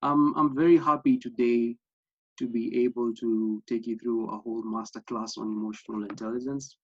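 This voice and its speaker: A man's voice: mid-range voice, flat delivery, moderate pace, breathy edge, dry recording. A young man from Kenya with a Kenyan accent, earnestly presenting an online workshop over a video call, with many fillers and restarts. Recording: slightly dull lo-fi sound.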